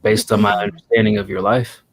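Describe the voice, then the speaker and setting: A man in a casual chat over a video call, answering in a dry, teasing and measured way. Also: on the low side, level tone, crowd noise.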